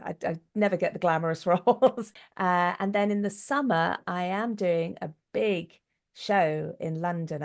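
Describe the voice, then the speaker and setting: Low-voiced middle-aged woman chatting. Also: a little mellow. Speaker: interview guest, actress and director. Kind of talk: casual interview chat.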